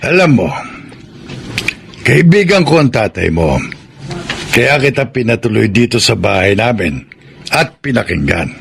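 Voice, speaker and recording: A man's voice: medium pitch, sing-song, unhurried, gravelly, pauses often, breathy edge, full character voice. An actor playing a proud, principled old Filipino farmer and grandfather in a radio drama, firm and admonishing. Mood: stern, defiant.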